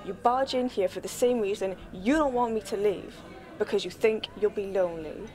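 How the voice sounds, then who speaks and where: A woman's voice: mid-range voice, moderate pace, darkish tone, soft background music, lightly acted. A young woman playing a frustrated grown-up daughter who argues for her independence in radio-play drama. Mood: exasperated. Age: young adult. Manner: exasperated, argumentative. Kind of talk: low-key dramatic radio-play dialogue.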